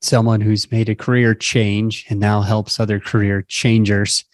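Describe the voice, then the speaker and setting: A man's voice: fairly low pitch, little pitch movement, measured pace, no room sound. Male podcast host, close dry sound. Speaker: show host. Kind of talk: podcast hosting, interviewing.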